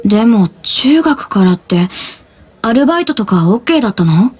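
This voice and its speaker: A woman voicing a gentle, curious teenage high school boy in an anime-style game. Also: big pitch swings, normal speed, breathy edge. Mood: puzzled, curious.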